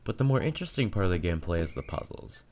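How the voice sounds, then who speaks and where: A man's voice: deep voice, very animated, rapid-fire, darkish tone. A man narrating a scripted video essay as a video game reviewer.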